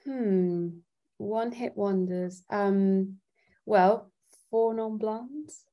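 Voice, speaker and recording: A woman's voice: medium pitch, very slow, warm tone. A woman from Italy, a guest singer-songwriter chatting casually in a giggly, thoughtful way. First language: Italian. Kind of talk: casual chat.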